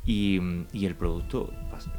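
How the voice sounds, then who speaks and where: Lower-voiced Spanish man, earnest actor. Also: normal speed, a little mellow, faint music behind. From Granada, Spain. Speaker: musical theatre actor. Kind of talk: interview guest talk.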